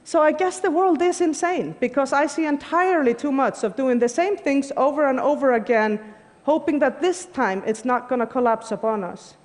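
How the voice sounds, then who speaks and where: A woman's voice: high-pitched, normal speed. A woman, giving an earnest, emphatic prepared talk on stage. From Iceland. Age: 40s. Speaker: conference speaker. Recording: long hall reverb.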